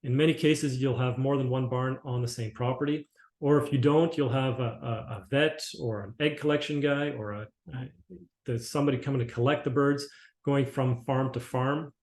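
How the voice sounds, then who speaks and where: A man's voice: mid-range voice, steady tone, normal speed, a little mellow. Guy from canada doing a company webinar, lots of uh and repeats, roomy echo. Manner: rambling, with frequent restarts and fillers. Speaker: company presenter. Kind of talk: webinar presentation. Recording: echoey room.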